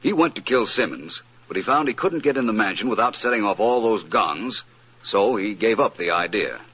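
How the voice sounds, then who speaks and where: A man plays a suave, witty gentleman detective from a vintage radio mystery. His low voice swings freely and expressively, urbane and teasing. The old recording is muffled. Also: expressive, moderate pace, a little hiss or hum, natural voice. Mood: assured, explanatory. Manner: urbane, teasing, explaining with assurance. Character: suave, witty, self-assured male amateur detective.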